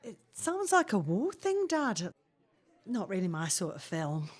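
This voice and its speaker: An actress playing a reassuring young working-class pregnant woman, gently coaxing, in a 1950s family audio drama. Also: mid-range voice, wildly swooping pitch, unhurried. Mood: easygoing.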